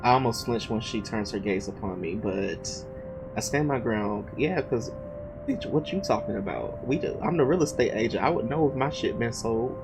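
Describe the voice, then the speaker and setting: A man's voice: medium pitch, animated, normal speed, rough recording. A man hosting a visual novel let's play, reading the narration aloud and speaking in an informal, casual way. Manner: informal, slangy asides between read passages. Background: loud game music.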